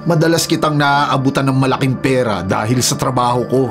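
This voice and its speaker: A menacing Filipino illegal logger, played by a male actor in a radio drama. He sounds scornful and domineering, with a mid-pitched voice over prominent dramatic music. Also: steady tone, a little fast, lightly acted. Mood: defiant, cold. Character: ruthless, defiant illegal logger and domineering husband. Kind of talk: Tagalog radio drama acting.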